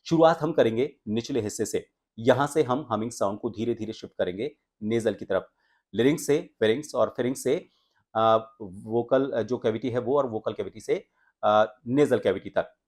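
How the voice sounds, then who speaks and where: एक आदमी जो आवाज़ सुधारने का पाठ पढ़ाता है, हमिंग की आवाज़ें करके दिखाता है और हिंदी लहजे में बोलता है।. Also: male voice, medium pitch, moderate pace, darkish tone. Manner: explanatory, demonstrates humming sounds. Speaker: voice coach and anchor. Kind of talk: voice training lesson.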